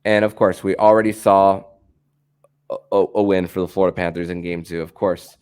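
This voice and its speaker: A man with a low, smooth voice hosts a sports podcast. His delivery is halting, as he stumbles into sentences and doubles up on words. The tone stays clean and resonant. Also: fairly even intonation, a little mellow. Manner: halting, stammers and repeats words. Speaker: podcast host.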